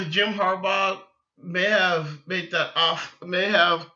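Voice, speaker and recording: Guy doing a sports podcast, really sharp punchy voice, rambly and opinionated, keeps restarting, kinda muffled lofi audio. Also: high voice, fairly even intonation, slow pace. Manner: rambling, repeats and restarts phrases. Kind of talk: casual, opinionated football fan talk.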